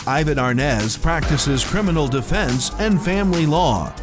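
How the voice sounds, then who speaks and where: A man's voice: a little high, animated, normal speed. A man announcer giving a scripted radio sponsorship read. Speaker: announcer reading a sponsor spot. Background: background music.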